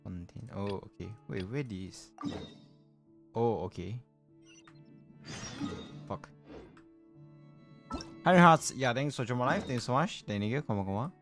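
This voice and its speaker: A man hosts a casual game stream over music. His delivery is slow and halting, with repeated words and offhand swearing. His pitch moves around a lot, and his English phrasing is loose and non-native. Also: animated, fairly bright tone. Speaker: livestreamer hosting a game stream. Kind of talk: casual livestream game chat.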